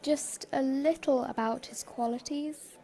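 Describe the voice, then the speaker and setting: A woman's voice: sits high, fairly even intonation, measured pace, warm tone. A woman playing a stern, exasperated department store boss in a fan audio drama, formal and condescending. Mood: businesslike.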